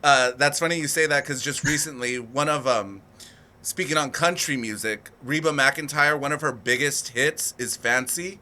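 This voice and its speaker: A man's voice: medium pitch, moderate pace, bright, forceful tone. A man around forty co-hosting a comedy podcast, teasing and joking in casual banter. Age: 40s. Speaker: podcast co-host.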